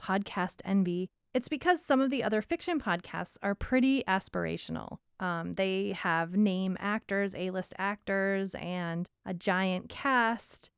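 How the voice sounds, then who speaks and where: A woman's voice: mid-range voice, measured pace, few pauses, smooth, no echo, studio quality. A woman talking casually and earnestly as a podcast co-host, reflecting on creative work. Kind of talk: casual co-hosted podcast discussion.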